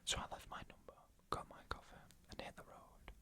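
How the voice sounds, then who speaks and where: A narrator reading eerie stories in a hushed, breathy ASMR whisper, in short measured phrases.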